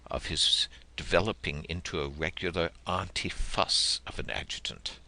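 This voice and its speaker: A man reading a literary story as an audiobook narrator, in a measured, expressive, dramatic manner. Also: low voice, creaky, a little hiss or hum.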